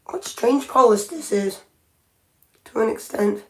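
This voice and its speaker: A woman's voice: a little high, slow pace, fairly bright tone. A woman chatting casually on a livestream as she paints her nails, thinking aloud and often restarting her sentences. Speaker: nail art livestreamer. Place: home by a window.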